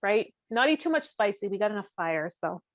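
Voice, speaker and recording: A woman's voice: mid-range voice, very animated, a little fast, no echo. A woman co-hosting a podcast in casual, conversational talk. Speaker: podcast co-host. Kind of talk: casual chat. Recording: dull, lo-fi close dry audio.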